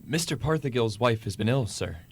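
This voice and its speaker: A man playing a meek, hesitant clerk in a radio drama, deferential and gentle. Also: mid-range voice, expressive, a little fast, breathy edge. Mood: timid.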